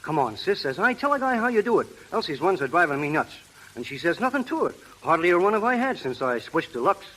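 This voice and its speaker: An actor playing a cocksure, slangy American husband in a 1930s radio advertisement. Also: male voice, high-pitched, sing-song, fast talker, sharp tone, exaggerated voice, poor audio. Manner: slangy, know-it-all. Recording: vintage 1930s radio recording.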